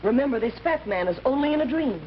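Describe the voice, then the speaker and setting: Lively, wry detective's wife. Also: female voice, sits high, quick pace. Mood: teasing. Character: detective's wife, concerned, gently teasing. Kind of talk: old-time radio drama acting. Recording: noisy, muffled vintage radio recording.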